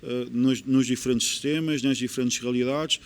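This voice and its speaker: A man's voice: medium pitch, fairly even intonation, moderate pace, clear, resonant tone. A man with a European Portuguese accent, a football coach in a podcast interview, explaining his views earnestly and repeating key phrases for emphasis.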